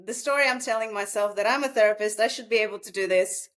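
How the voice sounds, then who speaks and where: A woman speaks as a therapist guest in an unscripted, reflective conversation, haltingly thinking aloud. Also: mid-range voice, a bit bright. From Russia. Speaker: psychotherapist guest.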